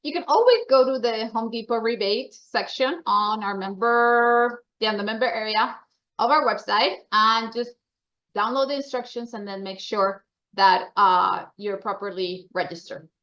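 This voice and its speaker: A woman's voice: a little high, moderate pace, a bit bright, dull audio. A woman with a Colombian accent giving informal, chatty, upbeat announcements to members at a meeting. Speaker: membership association staffer presenting member announcements.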